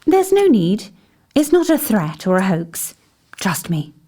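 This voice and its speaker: A mellow female voice of medium pitch for a calm, intuitive investigator acted by a woman. She speaks in a composed, measured, polite way, in audio drama style. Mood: reassuring, watchful. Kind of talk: fan-made audio drama acting.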